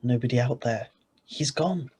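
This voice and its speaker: A man as a wry, husky, stubborn husband. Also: mid-range voice, measured pace, warm tone. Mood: eager, stubborn. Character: stubborn, greedy ordinary husband, wry and brisk. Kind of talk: radio thriller narration and dialogue.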